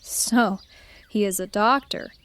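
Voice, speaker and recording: A woman's voice: mid-range voice, takes it slowly, fairly bright tone, clear, resonant tone. A woman playing an inquisitive, prodding young farm girl in an audio drama. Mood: matter-of-fact.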